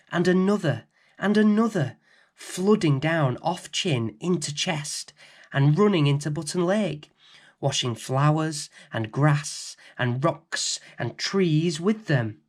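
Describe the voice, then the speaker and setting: A man reading a children's bedtime story aloud as host, in an expressive, dramatic, theatrical manner. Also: a little high. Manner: dramatic, theatrical, deliberate phrasing.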